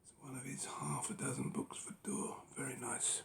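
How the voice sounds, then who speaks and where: Gravelly hoarse man, half-whispering. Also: medium pitch, little pitch movement. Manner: unhurried, musing, lots of ums and rights. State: losing his voice. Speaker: book collector. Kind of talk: casual collection walkthrough.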